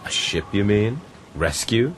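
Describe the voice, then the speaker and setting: Male castaway lead for radio drama: low register, earnest, gentlemanly, resolute, with clear background noise. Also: big pitch swings, a little slow. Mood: concerned.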